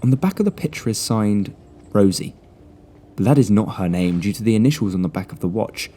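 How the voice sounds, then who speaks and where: A man voicing a clever young male detective who lays out deductions coolly and deliberately. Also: lowish voice, expressive, warm tone. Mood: deductive. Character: clever young male sleuth, calm and smug.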